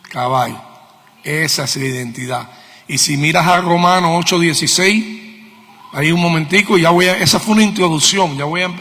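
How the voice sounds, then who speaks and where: High, bright-voiced Cuban preacher in a hall. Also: male voice, normal speed. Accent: Cuban Spanish. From Cuba. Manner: emphatic, didactic, repeats key words. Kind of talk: sermon, teaching with questions to the congregation. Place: church meeting hall. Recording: long reverb of a hall.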